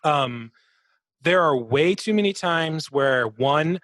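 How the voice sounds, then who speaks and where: A man with a slightly high voice chats casually as a podcast co-host about video games. He swears freely and throws in vocal sound effects. The sound is very dry, with no room around it. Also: lively intonation, slow, fairly bright tone, dry recording. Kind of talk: casual gaming chat.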